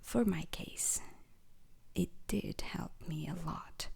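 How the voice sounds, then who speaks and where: A woman plays a grave, retired space-mission veteran in an ASMR roleplay. She lectures recruits in a breathy, husky whisper with a crackling fry. The delivery is very slow, formal and deliberate. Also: on the low side, darkish tone, exaggerated voice. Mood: measured, solemn. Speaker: instructor.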